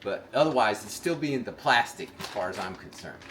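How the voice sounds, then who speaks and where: A man's voice: fairly low pitch, animated, fairly quick, a bit bright, breathy edge. An older man in casual chat, folksy and rambling with lots of fillers, his voice a little congested from a cold. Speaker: vlog host. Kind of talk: casual chat and how-to demonstration.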